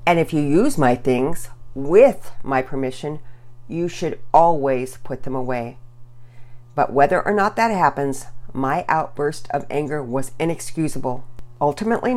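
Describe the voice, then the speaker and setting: A woman's voice: low voice, darkish tone. A woman voicing an exasperated, flustered father in a children's story, stammering and stumbling over his words.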